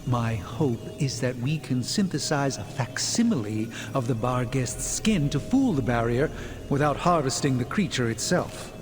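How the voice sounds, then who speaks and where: A man playing a learned, self-assured sorcerer doctor in a video game cutscene, speaking in formal, precise, deliberate phrases. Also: medium pitch, expressive, lightly acted. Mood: explaining, grave. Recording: loud game music behind.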